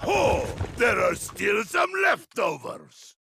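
A man playing a huge, boisterous, muscle-proud wrestler in a video game, bombastic and hearty with a gravelly voice. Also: high voice, wildly swooping pitch, slow, raised voice, very noisy, exaggerated voice. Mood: booming, delighted.

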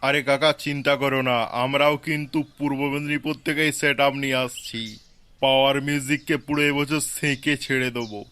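A man's voice: medium pitch, level tone, brisk, sharp tone, clean tone, lightly acted. A man with a West Bengali accent voicing a boastful young village festival committee man in an amateur comic cartoon.

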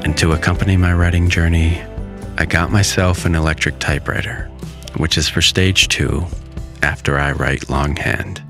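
A man in his thirties giving calm, reflective scripted voice-over narration at an unhurried pace. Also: sits low. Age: 30s. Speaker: vlogger. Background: faint music.

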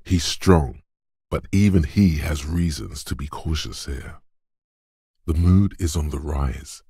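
An actor voicing the gruff, macho inner voice of brute physical strength in a video game, gravelly, deliberate and commanding. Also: male voice, extremely low pitch, warm tone, no room sound, studio quality, full character voice. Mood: stern, deadpan. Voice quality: gravelly, husky. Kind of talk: dramatic game narration.

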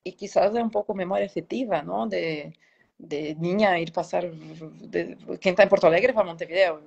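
Gravelly Brazilian woman thinking aloud. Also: on the low side, normal speed, a little mellow. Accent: Brazilian Portuguese. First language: Portuguese. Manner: hesitant, thinking aloud, searching for words. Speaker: interview guest. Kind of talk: casual, reflective interview answers. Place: indoor room, on a video call. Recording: dull, lo-fi video call sound.

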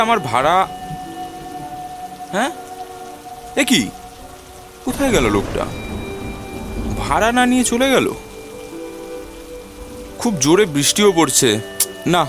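A man voicing an uneasy, curious government employee newly arrived in a horror cartoon, with animated, jittery delivery. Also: a little high, moderate pace, pauses often, music in the background. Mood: relieved, puzzled. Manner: animated, talks to himself, stammers when startled. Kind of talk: horror cartoon voice acting and first-person narration.

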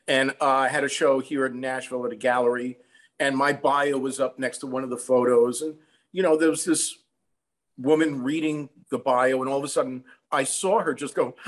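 A man's voice: medium pitch, rough recording. An older man speaking reflectively as a songwriter guest, recorded indoors on a video call. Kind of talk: reflective interview talk. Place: indoor room.

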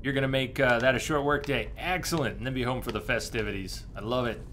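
A man's voice: mid-range voice, quick pace, a bit bright, slight background noise. A man in his thirties giving casual, easygoing game commentary, thinking aloud and chatting with viewers. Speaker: live game streamer. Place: indoor room, streaming at a desk.

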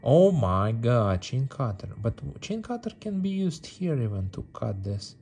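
A man's voice: mid-range voice, sing-song, measured pace, gravelly, mellow, slight background noise. A man giving casual gameplay commentary, playful and repetitive, with non-native English phrasing. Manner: repeats words and phrases, playful. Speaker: mobile game streamer. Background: game music.

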